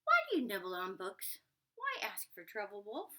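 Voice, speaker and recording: A woman plays a sensible young girl from a children's storybook. She is firm and instructive, a little bossy. Her bright voice moves animatedly through each line. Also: mid-range voice, wildly swooping pitch, normal speed, full character voice. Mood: scolding.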